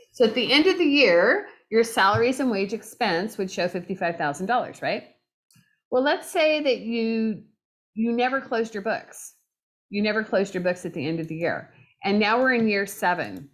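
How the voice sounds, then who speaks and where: A woman teaching an accounting lecture, losing her voice, talking conversationally and checking in with her students often. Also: mid-range voice. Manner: conversational, checks in with "right?" and "okay?". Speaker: accounting instructor. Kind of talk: recorded lecture.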